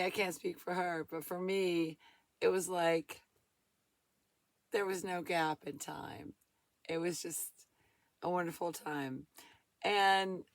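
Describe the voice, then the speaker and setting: Hoarse woman in her fifties, rambling folksy vlog talk, lowish voice, dry sound. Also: no room sound. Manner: folksy, rambling, laughs often, says y'all. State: hoarse from a cold. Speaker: van-dwelling vlogger. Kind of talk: casual chatty vlog.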